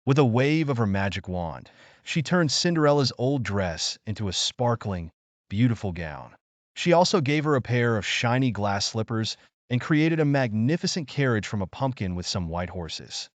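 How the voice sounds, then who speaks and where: A man's voice: mid-range voice, very animated, normal speed, dry recording. A man narrating a scripted fairy tale as a bedtime story for children.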